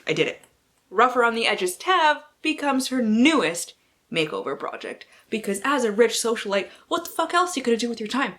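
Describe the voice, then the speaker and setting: A woman's voice: on the high side, sing-song, normal speed, a bit bright. A woman book vlogger who mixes casual, slangy chat with mock-dramatic blurb reading and playful, sweary asides to herself.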